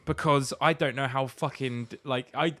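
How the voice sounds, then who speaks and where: A man's voice: medium pitch, steady tone, normal speed, sharp tone, no echo, clean studio sound. A British man co-hosting a podcast in casual comic chat, ranting and swearing in exasperation. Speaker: podcast co-host.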